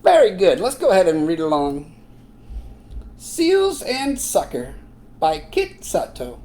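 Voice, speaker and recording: A woman's voice: fairly low pitch, huge pitch swings, slow pace, mellow, exaggerated voice. A woman voicing a cheerful puppet who leads a read-along for young children in an exaggerated, encouraging sing-song. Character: Sebastian, a cheerful puppet reading to kids. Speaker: children's reading teacher.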